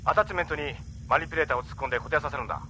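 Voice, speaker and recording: A sharp, radioed spaceship captain. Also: male voice, fairly high pitch, very fast, a little hiss or hum, low-quality recording. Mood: brisk, urgent. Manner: urgent, gruff orders. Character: brisk, commanding male spaceship captain. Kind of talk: Japanese anime audio drama acting.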